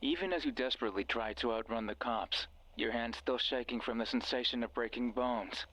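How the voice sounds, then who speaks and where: An actor playing a sinister, manipulative mysterious voice on a radio, bitter and commanding, narrating a horror game in the second person. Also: male voice, mid-range voice, steady tone, fairly quick, fairly bright tone, natural voice, low-quality recording. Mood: coldly commanding.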